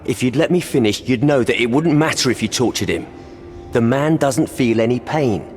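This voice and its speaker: A man voices a fiery knight captain with a mid-pitched, plainspoken voice. He is blunt and rousing, cutting through arguments over game music. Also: lively intonation, normal speed, close to an everyday voice. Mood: reasoning. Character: fiery male knight captain, rough and loyal. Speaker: game character.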